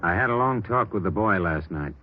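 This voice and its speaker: An American actor playing a shrewd police captain in a radio crime drama, brisk and authoritative as he briefs his detectives. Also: low-pitched, lively intonation, soft background music. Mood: resolute. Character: shrewd, decisive male police captain giving orders. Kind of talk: 1950s radio drama acting. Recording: dull vintage radio recording.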